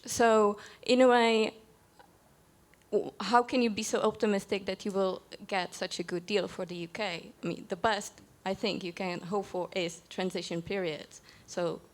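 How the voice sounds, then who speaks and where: Young woman, mid pitch, bit hesitant, lots of uhs, asking from the floor. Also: fairly even intonation, moderate pace. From Czech Republic. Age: young adult. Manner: hesitant, with many fillers. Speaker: audience member asking a question. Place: conference hall.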